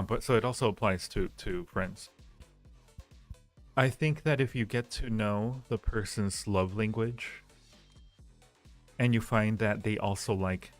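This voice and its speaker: A man hosting a casual livestream, answering listener questions in a halting, rambling, reassuring way. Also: on the low side. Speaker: livestream host giving advice.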